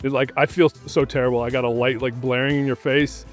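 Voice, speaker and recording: A man's voice: medium pitch, level tone, fast, faint music behind. A man hosting outdoors at dusk, asking his friend laid-back, curious questions about camera settings. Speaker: host learning from a guest. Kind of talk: casual questions.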